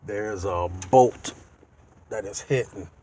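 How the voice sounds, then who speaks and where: Low-voiced, slow-talking man. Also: warm tone, slight background noise. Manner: halting, pauses mid-sentence to find words. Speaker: DIY car video host. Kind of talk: casual how-to walkthrough. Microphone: handheld tablet.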